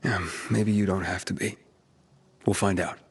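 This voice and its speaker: A man voicing a wary male wanderer in a video game, speaking calmly and drily. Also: low-pitched, normal speed. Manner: calm, dry, laconic.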